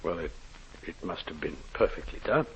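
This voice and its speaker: An actor playing an earnest, seasoned criminal lawyer, telling a strange case in dramatic 1940s radio style. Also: male voice, sits low, expressive, creaky, slight background noise. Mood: hushed, probing. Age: middle-aged. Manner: dramatic, measured storytelling. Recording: muffled vintage radio recording.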